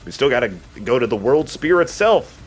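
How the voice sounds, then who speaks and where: A man's voice: medium pitch, very animated, normal speed, slightly rough. A man giving casual, opinionated and sometimes sweary video game commentary alongside a co-host. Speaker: let's play commentator. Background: game music.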